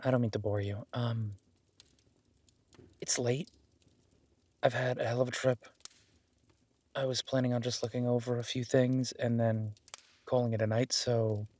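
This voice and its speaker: A man's voice: medium pitch, lightly acted. Man playing a hesitant, modest young werewolf duke. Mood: hesitant, tired. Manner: hesitant, self-deprecating, informal, halting. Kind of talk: intimate audio roleplay acting.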